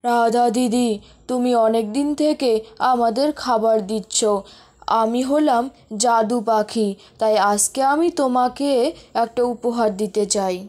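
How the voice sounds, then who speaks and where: A woman voicing a loyal, generous magical crow in a cartoon tale, measured and earnest. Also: a little high, a little mellow, lightly acted.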